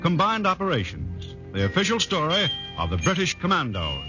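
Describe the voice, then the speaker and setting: A man's voice: fairly high pitch, wildly swooping pitch, normal speed. A man with an American accent announcing a scripted 1940s radio program in a formal, declamatory way. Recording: dull 1940s radio recording, music behind.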